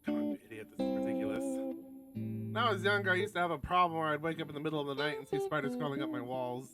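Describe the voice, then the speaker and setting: A man's voice: on the high side, very fast. A man streaming a game, reading aloud and chatting casually in a wry, sweary way, now and then stumbling over his words. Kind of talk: casual read-aloud commentary with asides. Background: guitar music from the game.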